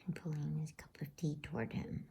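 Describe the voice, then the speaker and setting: A woman narrating an ASMR bedtime story in a soothing, unhurried, hushed manner. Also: low voice, little pitch movement, creaky, husky.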